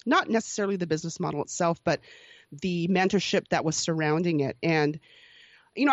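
Woman, forties, lower register; candid and reflective guest, restarts often, soft laughs; dry, slightly dull sound. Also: no echo. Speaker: podcast host being interviewed as guest. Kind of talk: candid personal storytelling.

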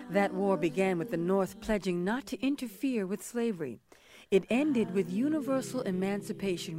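A woman giving scripted historical narration with deliberate, formal phrasing. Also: medium pitch.

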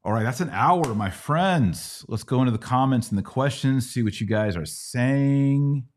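A man's voice: medium pitch, lively intonation, moderate pace. A middle-aged American man hosting a live Q&A, teaching informally in short, conversational pieces. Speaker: podcast host. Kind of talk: live Bible Q&A, casual teaching.

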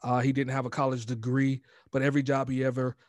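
An American man in middle age speaks as a podcast guest at a quick clip. His delivery is informal and animated, slipping into quoted dialogue as he tells his story. The voice is recorded close and dry, at an ordinary male pitch. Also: no room sound. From United States, raised in Virginia. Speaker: podcast guest, motivational coach. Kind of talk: casual personal storytelling in an interview.

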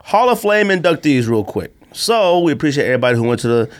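A man's voice: fairly high pitch, sing-song, fast talker. A man hosting a podcast in casual banter, with a teasing, opinionated manner. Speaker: podcast host.

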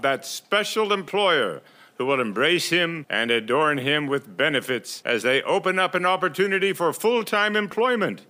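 A man's voice: a little high, bright, forceful tone, heavily acted. A man playing a florid, mock-dramatic narrator in a scripted radio comedy. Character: grandiose male storyteller narrating a hapless temp's saga.

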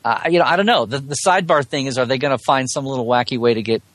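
A man's voice: medium pitch, rapid-fire, fairly bright tone, no echo. A man speaking as a podcast guest in a casual, rambling chat about sci-fi, wry and jokey in his delivery. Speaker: podcast guest, Star Trek commentator.

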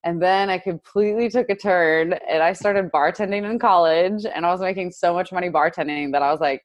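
Low-voiced chatty young woman. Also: fairly quick, no echo. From United States, Indiana. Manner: chatty, lots of "like" fillers, laughs often. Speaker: guest, digital marketer. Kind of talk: casual interview chat.

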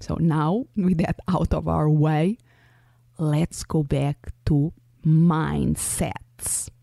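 Portuguese-accented woman, podcast host, unhurried and mellow, dry close-mic sound. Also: low-pitched, steady tone, gravelly, no room sound. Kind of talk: scripted solo podcast monologue.

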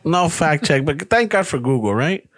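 A man's voice: a little high, sing-song, moderate pace, fairly bright tone, no room sound. Hoge stem, man van midden veertig. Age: 40s. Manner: swearing, rambling, says "like" and "dude" a lot. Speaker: podcast co-host. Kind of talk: casual profane chat, mixing English and Spanish.

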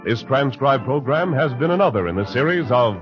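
A man's voice: medium pitch, lively intonation, brisk, over music. An American man working as a 1940s radio announcer, reading a script in a formal, emphatic way. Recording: dull 1940s radio recording.

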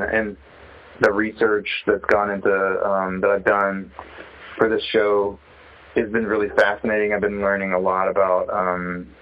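Man, lowish pitch, casual phone chat, halting and reflective, searching for words, muffled limited-band call. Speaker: interviewee, sculptor. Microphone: telephone.

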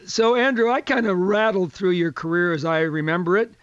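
An older man hosting a radio talk show in a chatty, folksy manner, his voice a little hoarse. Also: high-pitched, fairly quick, no room sound, lo-fi sound. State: slightly hoarse.

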